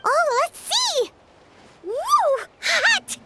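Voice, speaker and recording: A woman voicing a tiny childlike fairy companion in a video game, squeaky, excitable and encouraging. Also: drawn-out words, a little hiss or hum, exaggerated voice. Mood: excited. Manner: exclaiming, refers to herself in third person. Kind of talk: animated video game voice acting. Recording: clean game voice over loud music.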